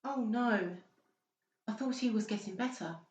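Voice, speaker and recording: A woman's voice: a little high, unhurried, a little mellow, lightly acted. A woman playing a small, timid, worried mother in a dramatised audiobook, meek and polite. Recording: dull, echoey, noisy room recording.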